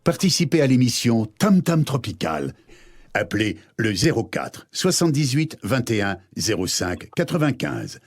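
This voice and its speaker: A man's voice: mid-range voice, very animated, moderate pace. A man voicing a radio station promo with dramatic, sweeping delivery over music. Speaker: station announcer.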